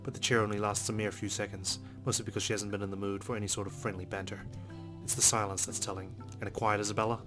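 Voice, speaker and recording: Male let's play host narrating game text: rapid, lowish delivery, casual, music clearly underneath. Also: level tone. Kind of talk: reading game narration aloud with casual asides. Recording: music behind the voice.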